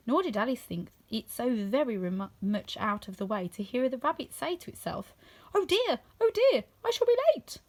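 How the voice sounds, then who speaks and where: A woman reading a classic children's story aloud in an expressive narrating style. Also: medium pitch. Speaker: storybook reader.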